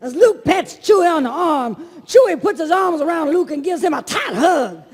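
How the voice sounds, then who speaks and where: Male comic script narrator, gravelly and lively, projected delivery in a reverberant hall. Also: extremely high pitch, normal speed. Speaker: narrator reading stage directions. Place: on stage at a large convention hall. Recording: echoey convention hall.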